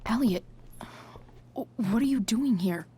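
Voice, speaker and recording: An actress voicing a tough, guarded, foul-mouthed teenage girl in a video game, with a husky voice and muttered, halting delivery. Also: a little high, expressive, mellow. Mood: defensive, uneasy.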